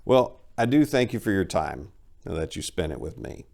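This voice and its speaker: Male story host, low register with heavy fry, dramatic and emphatic reader. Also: very animated, moderate pace, a little mellow. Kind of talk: dramatic story reading with casual commentary.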